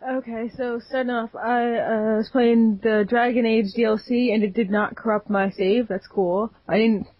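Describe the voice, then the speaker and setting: A woman's voice: fairly high pitch, steady tone, moderate pace, dry recording. A woman co-hosting a casual gaming podcast, rambling with many fillers. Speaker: podcast co-host. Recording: dull lo-fi sound.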